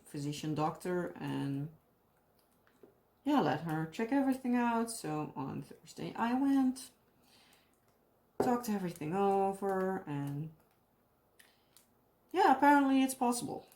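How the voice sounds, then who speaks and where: A woman's voice: medium pitch, sing-song, unhurried, pauses often, darkish tone. A young woman with a Dutch accent chatting casually in a rambling way, often searching for English words and laughing. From Netherlands. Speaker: craft vlogger. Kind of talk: casual chat while crafting.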